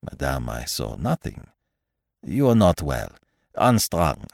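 A man voicing a shrewd, self-assured detective in a mystery audiobook, brisk, precise and gently chiding. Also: a bass voice, very animated, creaky, no room sound, studio quality, voice pushed down, exaggerated voice. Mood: calm, firm. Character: shrewd, self-assured, fussy male detective, calmly superior. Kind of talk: dramatised audiobook reading of a mystery story.

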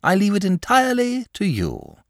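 A man voicing a gentle, lazy and cowardly male dragon who talks in a solemn, drawling way. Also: high-pitched, huge pitch swings, normal speed, no echo, studio quality, voice pushed up, heavily acted.

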